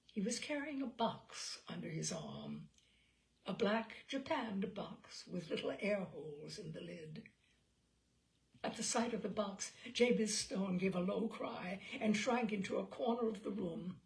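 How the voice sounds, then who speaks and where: Woman reading a story out loud, dramatic narrator style, creaky voice with some fry. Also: medium pitch, lively intonation, measured pace.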